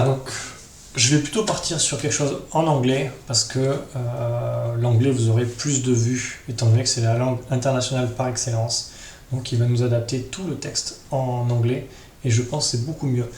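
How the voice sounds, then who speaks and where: A man's voice: medium pitch, a little mellow. A man hosting a casual screen tutorial, improvising and thinking aloud with frequent tag questions. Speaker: tutorial host. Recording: roomy echo, faint background noise.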